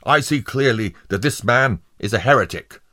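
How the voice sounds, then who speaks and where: A man's voice: mid-range voice, huge pitch swings, fairly quick, pushed and bright, husky, voice pushed up, exaggerated voice. A man voicing vindictive jurymen in a storybook, exclaiming and snarling with spite. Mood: hateful. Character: vindictive male jurymen baying for a hanging.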